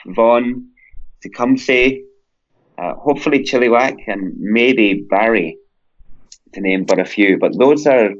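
A man's voice: mid-range voice, dull audio. A Scottish man from Scotland, a guest on an interview podcast, talking enthusiastically and rambling through long lists. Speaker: parkrun Canada guest.

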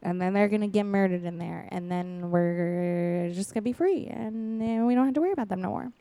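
A woman's voice: mid-range voice, brisk, dark tone, close to an everyday voice. A woman playing a scheming, casually murderous adolescent girl in an improvised comedy radio play, slangy and insistent.

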